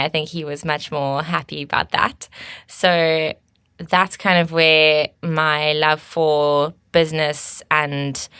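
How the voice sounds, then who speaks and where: A woman's voice: deep voice, bright, forceful tone, smooth, no echo, studio quality. A South African woman in her thirties co-hosting a casual podcast, fluent and chatty, with frequent ums and small restarts. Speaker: podcast co-host, agency co-founder.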